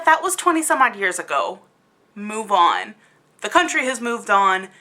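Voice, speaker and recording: Bright-voiced woman giving a blunt review. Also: fairly high pitch. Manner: exasperated, blunt, conversational with emphatic drawn-out words. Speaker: book reviewer hosting a video channel. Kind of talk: casual opinionated review to camera.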